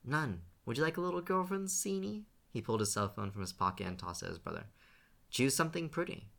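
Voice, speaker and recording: Male narrator doing cocky young brother, breezy teasing, raised bright voice. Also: mid-range voice, very animated, fast talker, vocal fry, voice pushed up. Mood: mocking.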